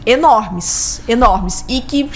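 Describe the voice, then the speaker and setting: High-voiced, emphatic Brazilian woman. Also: animated, slow, a little hiss or hum. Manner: emphatic, repeats key words for stress. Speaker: podcast guest, business consultant. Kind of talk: casual interview conversation.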